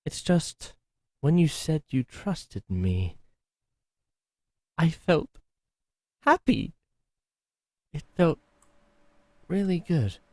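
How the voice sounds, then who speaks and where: A man voicing a gentle, timid masked servant to a cruel duke, speaking softly, formally and with hesitation. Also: a little high, big pitch swings, extremely slow, pauses often, dry recording, exaggerated voice. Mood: confessing. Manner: soft, hesitant, formal and polite. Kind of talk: ASMR roleplay acting.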